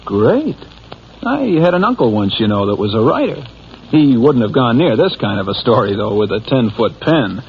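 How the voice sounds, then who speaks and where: A man's voice: medium pitch, sing-song, normal speed, slight background noise. An actor playing a wry, slangy, folksy bus driver telling his hard-boiled story in 1940s radio drama style. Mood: wry, resigned. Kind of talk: 1940s radio drama acting, first-person narration. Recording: muffled old radio recording, loud music.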